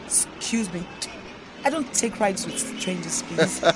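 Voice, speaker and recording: An actress playing a prim, hurried young Nigerian university student in a radio drama. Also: female voice, fairly low pitch, lively intonation, slight background noise. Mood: wary.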